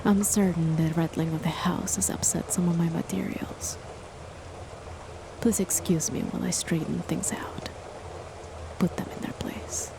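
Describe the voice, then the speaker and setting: A woman playing a controlling, sinister chemist who is the listener's partner, in an ASMR horror roleplay, talking softly in a half-whisper, politely but with quiet threat. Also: on the low side, unhurried, gravelly. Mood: courteous. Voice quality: soft, whispery delivery. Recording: steady rain noise behind the voice. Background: rain.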